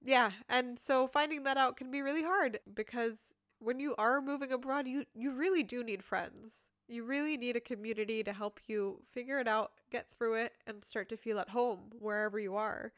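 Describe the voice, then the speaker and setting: A woman's voice: on the high side, moderate pace, hardly any pauses, no room sound, studio quality. A woman hosting a podcast, telling a story in a casual, rambling way with frequent giggles and lots of "like". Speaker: podcast host. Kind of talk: casual chatty monologue.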